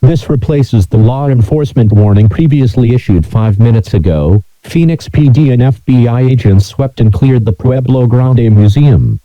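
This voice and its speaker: A man reading a scripted emergency broadcast announcement in a formal, mechanical monotone. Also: mid-range voice, moderate pace, hardly any pauses, no room sound, lo-fi sound.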